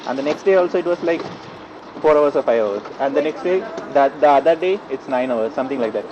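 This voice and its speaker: A young man, a student, recounting a team project in halting English. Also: mid-range voice, lively intonation, lo-fi sound, noisy background. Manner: halting, non-native phrasing. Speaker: master's student. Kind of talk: interview, recounting.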